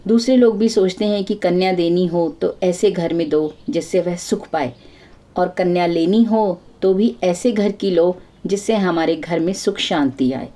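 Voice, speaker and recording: A woman's voice: lowish voice, a little slow, dark tone. A woman storyteller narrating a scripted moral folk tale.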